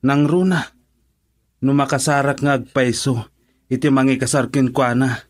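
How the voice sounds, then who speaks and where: A man's voice: medium pitch, fairly even intonation, moderate pace, close to an everyday voice. A man with an Ilocano accent playing a frank friend who gives blunt advice in a radio drama. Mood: firm.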